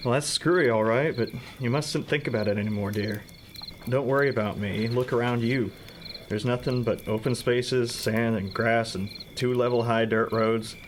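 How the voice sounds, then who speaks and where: A man's voice: medium pitch, fairly even intonation, normal speed, slight background noise, lightly acted. A young man acting in a scripted radio drama as a protective state trooper, brusque with his sweetheart. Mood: matter-of-fact. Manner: brusque, reassuring.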